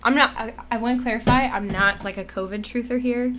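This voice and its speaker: A woman's voice: on the high side, fairly even intonation, brisk, background noise. A young woman co-hosting a podcast, chatting casually with fillers, restarts and frequent laughs. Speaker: podcast co-host. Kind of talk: casual chat.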